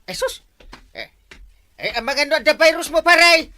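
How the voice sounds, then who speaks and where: A woman with a Filipino accent playing a stammering, excitable neighbour in a radio comedy drama. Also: high voice, moderate pace, exaggerated voice. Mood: flustered. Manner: stuttering "eh, eh" interjections.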